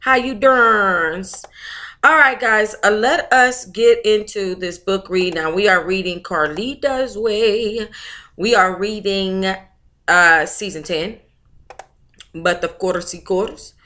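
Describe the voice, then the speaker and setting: A woman's voice: mid-range voice, slow pace, pushed and bright. An American woman hosting a book club livestream, talking in a casual, chatty, slangy way with familiar endearments.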